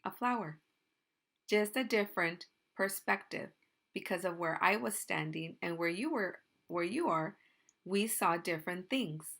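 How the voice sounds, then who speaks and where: A woman's voice: lowish voice, expressive, a little slow, a bit bright. A woman from Mexico, raised in the United States, telling a children's story in a church. She explains things simply and often checks in with "right?". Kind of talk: gentle children's story talk. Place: church sanctuary.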